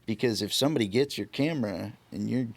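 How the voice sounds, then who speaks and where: A young man talks in a casual, slangy way as part of a podcast group. His voice sits at an average pitch and is recorded close and dry. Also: fast talker, gravelly, dry recording. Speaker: podcast panelist.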